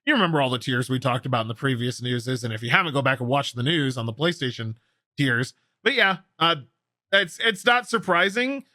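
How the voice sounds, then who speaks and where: A man's voice: mid-range voice, animated, brisk, bright, forceful tone, no echo, studio quality. A man hosting a gaming news show, commenting casually and enthusiastically on stories with a co-host. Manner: enthusiastic, opinionated, conversational.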